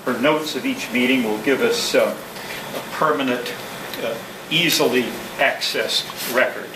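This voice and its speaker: A man speaking as a club president, giving a prepared address to a meeting in a halting, measured, formal way. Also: mid-range voice. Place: indoor meeting room with ventilation hum. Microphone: distant room microphone. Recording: distant mic, echoey room, clear background noise.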